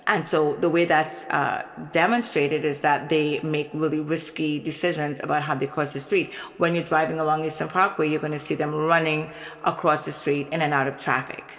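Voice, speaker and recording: Low-voiced Trinidadian woman telling a story. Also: fairly even intonation, fairly bright tone. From Trinidad and Tobago. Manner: measured, earnest, explanatory. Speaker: channel host. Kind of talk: personal storytelling to camera.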